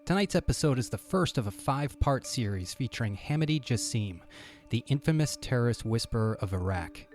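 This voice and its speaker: A man's voice: medium pitch, expressive, a little fast, darkish tone, soft background music. A man reading a scripted introduction as a podcast host.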